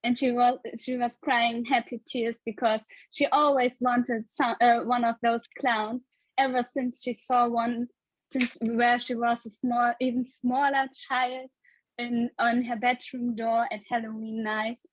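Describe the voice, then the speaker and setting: A woman making up a story haltingly, repeating words, on a video call. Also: on the high side, little pitch movement, no room sound, poor audio. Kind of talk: improvised storytelling.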